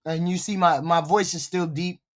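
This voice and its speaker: A livestream commentator, hoarse from a cold and short of breath, ranting bluntly and profanely at what is on screen. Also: fairly even intonation, normal speed. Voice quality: deeper than usual voice, short of breath.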